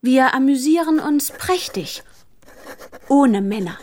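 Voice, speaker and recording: An actress playing an earnest young woman abroad who reads out her letters with deliberate phrasing in a radio play. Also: high-pitched, expressive, a little mellow, slight background noise. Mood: bright, admiring.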